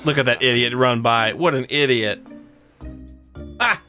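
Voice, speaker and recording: A young man streaming a shooter game on a headset mic, chatting casually, excitable and self-mocking. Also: mid-range voice, measured pace. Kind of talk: casual gameplay chat. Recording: game music behind voice.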